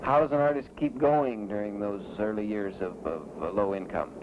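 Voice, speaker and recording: A man with a fairly low voice puts questions as an interviewer. The sound is narrow and phone-like, with faint noise around it. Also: moderate pace.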